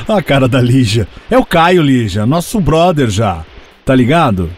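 A man's voice: medium pitch, sing-song, takes it slowly, slightly rough. A man with a Brazilian accent co-hosting a radio show with playful, slangy, joking banter.

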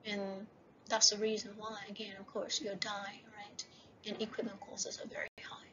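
A woman lecturing over slides for a university class, often checking in with "right?" and slowing down to read slide headings. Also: medium pitch, little pitch movement. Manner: repeats "right?" and "okay?" as checks. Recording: dull lo-fi voice-over with faint hiss.

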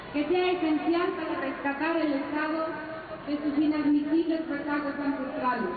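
A middle-aged Mexican woman reading a declamatory campaign speech on an outdoor stage as a political candidate. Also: very high-pitched. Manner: declamatory, slow emphatic delivery. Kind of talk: campaign rally speech read from a paper. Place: outdoor stage at a large rally. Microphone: stand mic, recorded from far through PA. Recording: echoey PA sound from far off, tinny, crowd noise.

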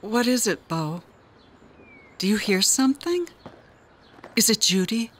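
A woman's voice: medium pitch, very animated, measured pace, slight background noise. A woman playing an anxious, pleading wife in an audio drama. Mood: worried, puzzled.

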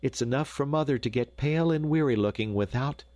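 A man voicing a fussy, warm and eager peasant mother in a storybook, with homely old-fashioned speech. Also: mid-range voice, brisk, no room sound, pitched above the performer's own voice, lightly acted. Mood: motherly.

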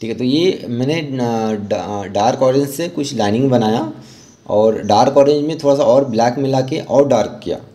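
Man, mid-pitched, dark relaxed tone, art instructor, informal tutorial narration, halting delivery.

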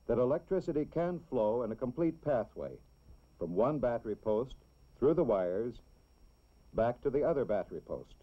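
American guy doing 60s school film narration, explains stuff simply for kids, muffled lo-fi old soundtrack. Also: medium pitch. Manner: simple, deliberate explaining for children. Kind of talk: scripted 1960s educational film narration.

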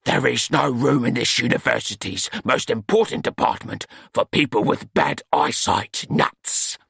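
A man's voice: medium pitch, measured pace, creaky, fairly bright tone, husky, no echo, studio quality, exaggerated voice. A man voicing a grumpy, self-important older master in an audiobook, curt and scolding. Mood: irritated, pompous.

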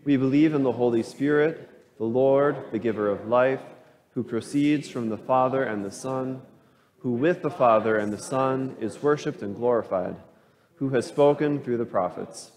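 Pastor type, man, measured slow creed reading, long hall echo. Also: medium pitch, a little mellow. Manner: slow, measured liturgical recitation. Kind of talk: leading a creed in worship. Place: church sanctuary. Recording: long church reverb.

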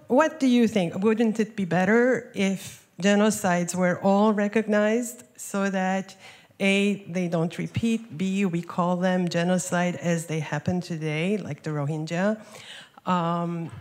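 A woman from Turkey asking a question from the audience in a lecture hall, politely and haltingly. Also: lowish voice, a little mellow.

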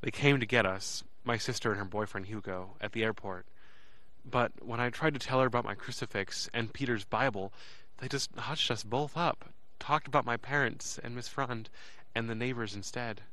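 A man's voice: medium pitch, bright, forceful tone, lightly acted. Actor as an earnest young man recounting his story. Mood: earnest, relieved. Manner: deliberate, dramatic phrasing with pauses and repetitions. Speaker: narrator. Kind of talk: first-person audio drama narration.

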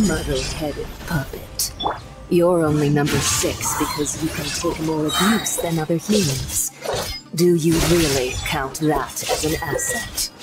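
An actress playing a haughty, cruel villainess in a video game, taunting with a condescending drawl. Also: female voice, deep voice, expressive, slow, rough recording. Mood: mocking. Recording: background music under the voice.

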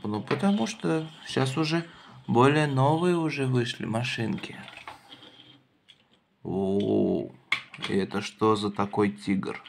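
A man with a creaky, gravelly voice talks playfully to a child in short, simple phrases. He keeps asking questions and encouraging the child along. The home recording carries faint noise and the clicks of toys. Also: medium pitch, takes it slowly, pauses often. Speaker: adult playing with and questioning a child in a toy car show. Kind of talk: casual playful chat with a child. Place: indoors at a wooden table.

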